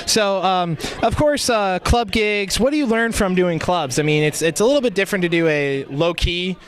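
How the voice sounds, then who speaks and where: A man with a clearly high-pitched voice works as the interviewer. He puts his questions in a casual, conversational way. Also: normal speed.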